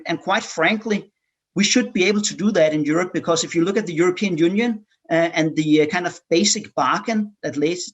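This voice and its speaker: A man from Denmark, speaking as a panelist in a policy discussion, pausing often with filler sounds and repeated words. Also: a little high, fairly bright tone.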